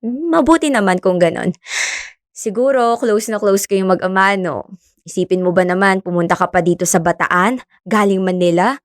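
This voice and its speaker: A woman's voice: medium pitch, normal speed, dry recording, lightly acted. Casting: actress for bubbly yet earnest young female scientist, natural radio drama delivery, dry studio recording. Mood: curious. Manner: expressive, friendly teasing, earnest when explaining. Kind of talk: conversational radio drama acting.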